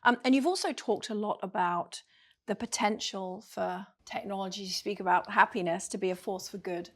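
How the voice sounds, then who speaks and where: Interviewer: woman, mid pitch, gentle affirming questions. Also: normal speed. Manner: soft encouraging affirmations.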